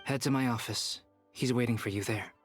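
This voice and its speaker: Man voicing a composed, medium-pitched young alchemist. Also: faint music behind, natural voice. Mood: calm. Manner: calm, measured, polite. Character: composed, polite young male alchemist, reserved and calm. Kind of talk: video game voice acting.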